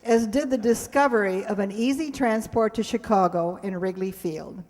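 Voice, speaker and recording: A woman's voice: mid-range voice, normal speed, a little mellow. A woman hosting a live show on stage, addressing an audience in an informal way with ad-libbed asides. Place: hall before a live audience. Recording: hall reverb, live stage recording.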